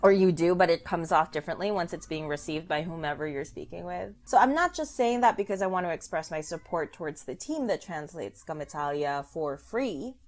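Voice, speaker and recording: A woman's voice: lowish voice, lively intonation, fairly quick, hardly any pauses. Rambling young woman. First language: Filipino. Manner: rambling, chatty, with joking asides. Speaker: vlogger. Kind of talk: casual commentary vlog.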